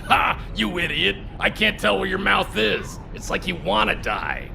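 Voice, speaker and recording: A man voicing a brash, hot-headed soldier in a video game, with a gravelly, creaky voice and an excitable manner. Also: high-pitched, unhurried, background noise, full character voice. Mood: taunting. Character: eager, hot-headed young male soldier, cocky and threatening.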